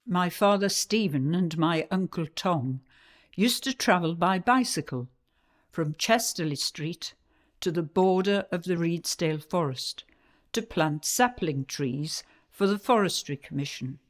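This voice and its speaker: An actress playing a woman who calmly recalls her family's forestry childhood in a community radio play. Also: on the low side. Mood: reminiscing. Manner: calm measured recollection. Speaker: narrator of memories.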